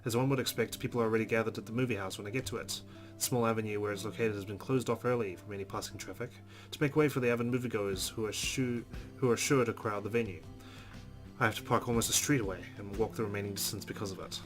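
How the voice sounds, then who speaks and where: A man's voice: fairly low pitch, steady tone, fast. A man reading visual novel narration aloud as a streamer, stumbling now and then and dropping in amused asides. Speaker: let's play streamer. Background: guitar music from the game.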